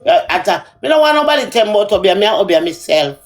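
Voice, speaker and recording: A woman's voice: medium pitch, big pitch swings, racing. A woman with a Jamaican accent in a heated casual livestream chat, repeating herself emphatically. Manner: repetitive, emphatic. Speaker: livestream participant.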